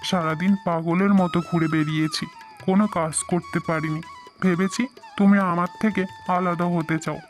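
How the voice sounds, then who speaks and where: A man's voice: high-pitched, lightly acted. Earnest young husband played by a man, music behind. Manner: earnest, pleading, repeats phrases. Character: devoted young husband and son-in-law, earnest, emotional. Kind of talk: melodramatic cartoon voice acting.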